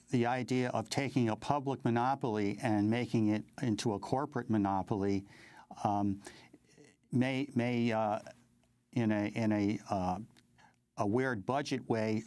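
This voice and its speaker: An older man with an American accent giving interview commentary in a halting, measured way, often repeating words. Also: lowish voice, darkish tone, dry recording. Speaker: interview guest, advocacy group president.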